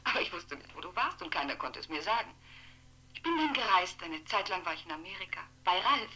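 Bright, swooping woman on the telephone. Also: on the low side, a little fast, breathy edge, soft background music, exaggerated voice. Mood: wistful. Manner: rambling, digressive, expressive. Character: chattering, wistful former lover calling a man by telephone. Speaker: radio drama actress. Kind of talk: 1960s German radio play acting. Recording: humming old radio play, telephone sound.

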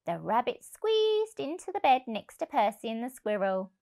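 Female storybook narrator, thirties, higher-pitched, very lively intonation, deliberate delivery for young children. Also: gravelly. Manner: expressive, deliberate. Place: indoor room, on a sofa. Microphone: lapel mic.

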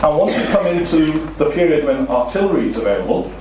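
A man's voice: on the high side, very animated, fast talker, darkish tone, a little hiss or hum. A middle-aged Welsh man with a Welsh accent giving an illustrated lecture to a seated audience, explaining steadily and posing rhetorical questions. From Wales. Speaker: seminar speaker. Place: meeting room with a seated audience. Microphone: far room recording, no mic seen. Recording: very echoey room, distant mic.

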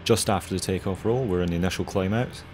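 A man's voice: sits low, quick pace, darkish tone, a little hiss or hum. A young man giving casual pilot commentary over his flight. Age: young adult.

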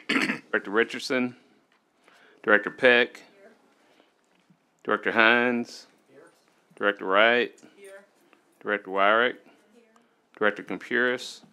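Slow, bright-voiced man calling roll. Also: fairly low pitch, steady tone, clear, resonant tone. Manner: measured, announcing names one by one. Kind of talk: roll call.

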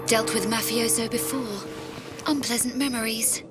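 A woman's voice: fairly high pitch, steady tone, normal speed, loud music behind the voice, lightly acted. An actress playing a flippant adventurer woman, in a video game cutscene. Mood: dry. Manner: dry, teasing.

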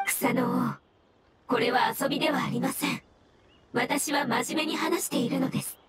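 A woman voicing a gentle, solemn mushroom spirit in an anime game, speaking formally and with earnest courtesy. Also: sits high, fairly even intonation, moderate pace, heavy background noise, natural voice. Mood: serious, cautious. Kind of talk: Japanese anime game voice acting. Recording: game music behind.

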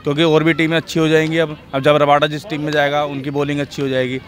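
A man's voice: fairly high pitch, flat delivery, fast talker, soft background music. A young man in his twenties, seated outdoors, giving plain reasoned opinions into a handheld mic. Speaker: interviewee. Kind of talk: casual opinion. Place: outdoor sports ground, seated.

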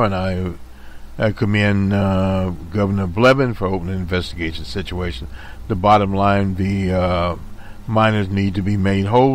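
A man with a very deep, low voice reads news stories on his radio show. He stops often on "uh", corrects himself mid-sentence, and adds pointed remarks of his own between the quotes. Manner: halting, many "uh" fillers and self-corrections; editorializing asides. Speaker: radio host reading news. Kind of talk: reading news reports aloud with commentary.

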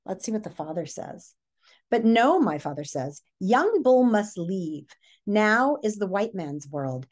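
A middle-aged woman giving a read-aloud lesson as a teacher, speaking with deliberate storytelling delivery and pausing to pose questions. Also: mid-range voice, darkish tone. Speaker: teacher reading a picture book aloud. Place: small furnished living room or hotel room, indoors.

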